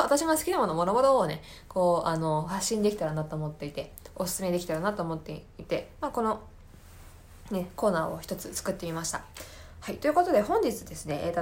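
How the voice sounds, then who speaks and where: Woman podcaster just chatting solo, mid pitched voice, kinda thoughtful, explains stuff and feels around for the right words. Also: slight background noise. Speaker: podcast host. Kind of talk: casual solo recommendation talk.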